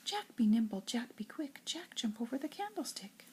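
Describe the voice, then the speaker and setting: An older woman with a breathy, husky voice reads nursery rhymes like a grandmother, in an expressive singsong storytelling way. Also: fairly high pitch, moderate pace, a little hiss or hum. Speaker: grandmother reading to children.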